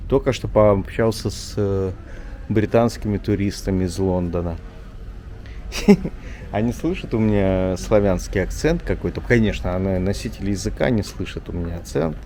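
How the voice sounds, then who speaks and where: Chatty low-voiced man strolling outdoors. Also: animated, moderate pace, dark tone, a little hiss or hum. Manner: chatty, rambling, often says "вот". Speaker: journalist vlogger. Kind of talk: casual walking vlog chat. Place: outdoors in an old town street.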